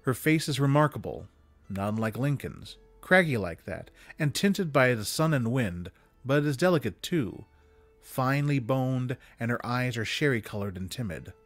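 A man reading classic prose aloud expressively, with measured, dramatic emphasis, close to a desk microphone. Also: mid-range voice, animated, fairly bright tone, no echo. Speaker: reader of holiday stories aloud. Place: small indoor room with bookshelves. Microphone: desk condenser microphone, close. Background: music.

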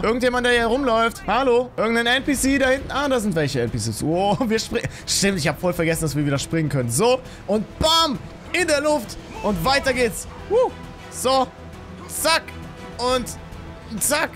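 A young man in his twenties with a high voice that swings widely in pitch, speaking. He commentates a video game excitedly, addressing viewers and his character in exclamations. He is close on a studio mic at home, with music behind him. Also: fairly quick. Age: 20s. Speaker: gaming YouTuber. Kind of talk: excited let's play commentary. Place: small home streaming room with acoustic foam, indoors. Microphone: studio microphone on boom arm, close.

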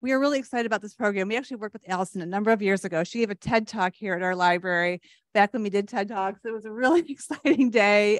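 A woman's voice: medium pitch, no echo, clean studio sound. A woman hosting a library evening program, chatty with many fillers. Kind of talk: introducing a library talk.

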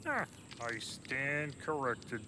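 A man's voice: mid-range voice, big pitch swings, slow. A man voicing a nervous, whiny, slightly dim bug sidekick in a children's cartoon. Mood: dry, whiny. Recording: loud background music.

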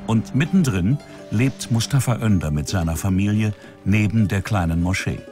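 A man's voice: on the low side, lively intonation, normal speed, over music. A man with a standard German accent narrating a television documentary in a scripted style.

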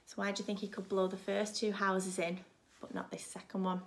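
A woman's voice: medium pitch, level tone, a little fast, vocal fry. A woman in her thirties, a primary school teacher, reading expressively to young children. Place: small furnished room at home, indoors.

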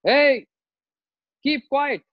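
A man's voice: very high voice, very slow, pitched above the performer's own voice, full character voice. A man with an Indian accent voicing a ferocious, boastful warrior sage in an oral storytelling, threatening and demanding. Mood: indignant, boastful. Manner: threatening, shouting demands, repetitive. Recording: roomy, dull lo-fi sound.

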